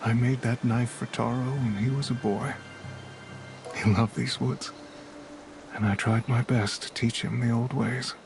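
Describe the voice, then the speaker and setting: An older village mentor from a game cutscene, grave and gentle, with a gravelly edge. He instructs in measured, regretful tones at a middling pitch, with music clearly behind him. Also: fairly even intonation. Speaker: mentor guiding a young spirit guide. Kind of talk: video game cutscene acting.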